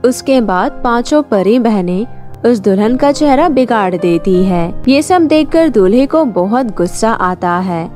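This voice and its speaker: A woman's voice: fairly high pitch, lively intonation, unhurried, darkish tone, soft background music. A woman narrating a scripted children's fairy tale as a storyteller.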